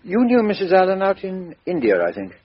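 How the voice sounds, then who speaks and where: A brisk Scotland Yard chief inspector who questions people in a breezy, blunt way. His husky voice rises and falls a great deal. The sound is dull and muffled, from an old radio broadcast. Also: high-pitched, big pitch swings, full character voice. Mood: questioning. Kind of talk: 1950s radio drama acting.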